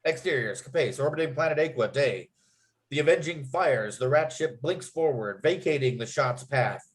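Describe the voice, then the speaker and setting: A man's voice: medium pitch, expressive, normal speed. A man reading out screenplay scene headings and action as the narrator of a script table read. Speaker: narrator and writer.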